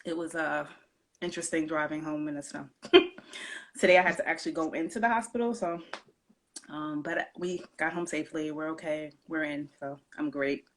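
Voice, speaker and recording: A woman's voice: low-pitched, normal speed. Affirming woman hosting a live chat. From New York. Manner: warm, affirming, many fillers. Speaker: host. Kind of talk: casual live-stream chat.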